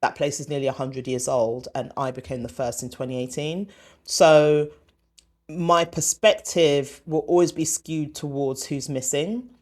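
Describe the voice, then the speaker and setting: A woman, born in Ghana, a former TV comedy commissioner answering as a guest, emphatic and animated. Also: low voice, measured pace. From born in Ghana, raised in West London. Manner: emphatic and animated, acts out quotes. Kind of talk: conversational interview answer.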